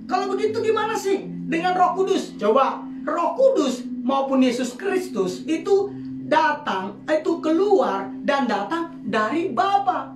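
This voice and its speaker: A woman from Medan preaching a sermon, firing rhetorical questions and calling for amen responses. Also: high-pitched. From Medan, Indonesia. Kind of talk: sermon teaching. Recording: echoey room, distant mic, music behind. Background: guitar music.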